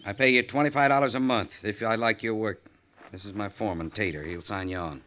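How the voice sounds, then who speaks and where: An actor playing a hard, bitter old rancher, gruff and curt, in a 1950s radio western. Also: male voice, on the low side, brisk, pushed and bright. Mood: gruff, suspicious. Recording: dull vintage radio recording.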